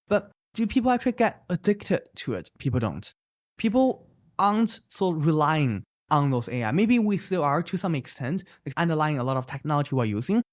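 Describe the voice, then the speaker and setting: Founder, thirties, really lively up-and-down voice, lots of "right?" and examples. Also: medium pitch, no echo, clean studio sound. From China. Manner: animated, emphatic, often adds "right?"; explains with many examples. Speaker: startup founder. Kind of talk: interview answers.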